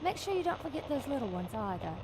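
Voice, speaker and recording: A woman's voice: high voice, sing-song, fast. A woman playing a chirpy weather reporter. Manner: chirpy, newsy. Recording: loud noise behind.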